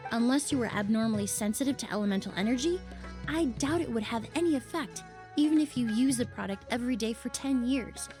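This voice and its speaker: A woman voicing a calm, analytical female perfumer and researcher in a game. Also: fairly high pitch, soft background music, natural voice. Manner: measured, analytical. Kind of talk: game voice acting.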